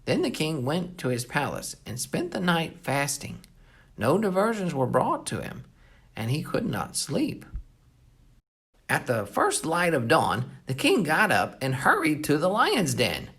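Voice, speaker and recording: A storyteller reading a Bible story aloud to children in an expressive, animated way. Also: medium pitch, a little slow, breathy edge. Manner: animated, explains hard words simply.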